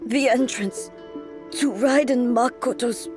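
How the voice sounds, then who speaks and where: A grave warrior goddess speaks in a formal, measured way at a slightly raised pitch. Each line comes slowly and deliberately. Faint game music sits under her voice. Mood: solemn. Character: solemn goddess ruler, weakened, resolute warrior.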